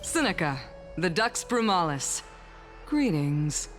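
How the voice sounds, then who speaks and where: A woman playing a cold, defiant high-ranking noblewoman in a video game, disdainful and biting. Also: sing-song, slow pace, soft background music. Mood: cold contempt.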